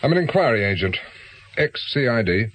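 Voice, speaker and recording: An actor playing a shrewd ex-police inquiry agent with a British accent in a radio drama, blunt and probing. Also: male voice, mid-range voice, very animated, normal speed, a bit bright. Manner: blunt, probing, matter-of-fact.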